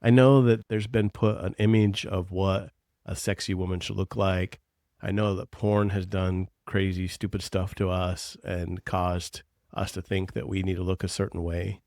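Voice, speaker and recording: A man's voice: deep voice, level tone, normal speed, a little mellow, no room sound, clean studio sound. A man co-hosting a podcast as a coach, talking in a blunt, teasing, earnest way. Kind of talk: casual podcast chat.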